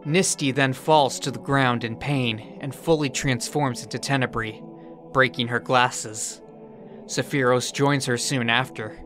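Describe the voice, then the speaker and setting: A man reading scripted narration that recaps a fantasy story. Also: medium pitch. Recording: music under the voice.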